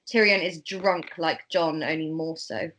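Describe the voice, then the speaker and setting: A woman's voice: low-pitched, fairly even intonation, a little slow, a bit bright. A woman reading scripted narration and stage directions in an amateur table read.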